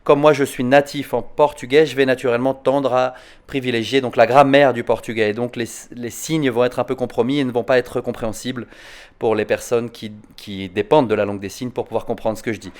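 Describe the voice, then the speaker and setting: A man speaks at an online conference in an ordinary mid-range voice. He talks in a halting, thoughtful way, repeating words as he searches for them and tagging sentences with little verbal tics. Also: mid-range voice, fairly even intonation. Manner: hesitant, repeats words, frequent "hein". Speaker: psychoanalyst answering conference questions.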